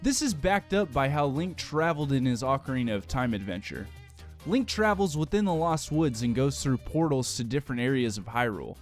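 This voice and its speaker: A man with a medium-pitched voice narrates a scripted video essay. He lays out his case in a measured, explanatory way, arguing point by point. Faint guitar music plays underneath. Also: lively intonation.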